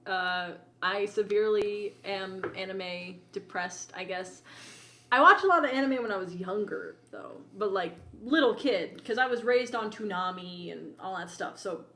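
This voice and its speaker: A woman's voice: medium pitch, measured pace, bright, forceful tone. A woman co-hosting a podcast in casual chat, informal and teasing, with loose, sweary phrasing. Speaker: podcast co-host. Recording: roomy, echoing voice recording.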